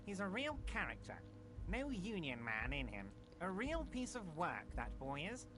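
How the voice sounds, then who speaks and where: A woman's voice: on the low side, huge pitch swings, normal speed, poor audio. A woman voicing a smug, talkative large male union boss with an oily, reassuring manner. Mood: smarmy. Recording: music playing behind.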